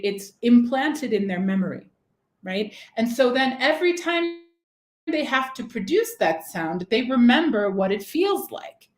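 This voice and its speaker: A woman giving a live online training for teachers, engaging the audience with questions and frequent "right?" check-ins. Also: mid-range voice. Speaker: teacher trainer presenting a webinar. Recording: roomy, echoey webinar audio.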